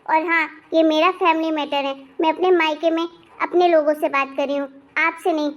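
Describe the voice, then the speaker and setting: A woman plays a young married daughter in a cartoon family drama, extremely high in pitch. She is hot-tempered and sulky, with a sharp, demanding tongue, and stays in a narrow band of notes. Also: fairly even intonation, moderate pace, close to an everyday voice. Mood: angry, indignant. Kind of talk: animated family drama dialogue.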